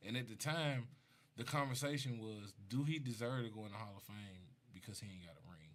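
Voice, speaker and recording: A man's voice: lowish voice, a little fast. A man from Mississippi with a Southern US accent, a rapper telling stories casually as a guest on a talk show. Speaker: rapper being interviewed.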